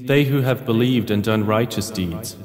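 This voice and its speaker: A man narrating a scripture translation in a solemn, scripted style, with deliberate phrasing and a reverberant echo. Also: on the low side, faint music behind. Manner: measured, deliberate phrasing with pauses. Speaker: narrator of English Quran translation.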